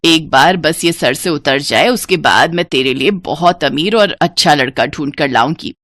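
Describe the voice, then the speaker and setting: A woman's voice: sits low, normal speed, a bit bright, no room sound, clean studio sound, pitched below the performer's own voice. A woman voicing a cold, bossy middle-aged mother who taunts and commands, in a cartoon moral story. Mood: scheming.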